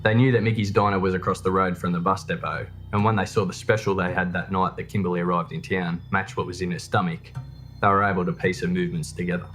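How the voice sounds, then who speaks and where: Low-voiced male documentary narrator. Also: fairly even intonation, fast talker, a bit bright, soft background music. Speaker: true crime narrator.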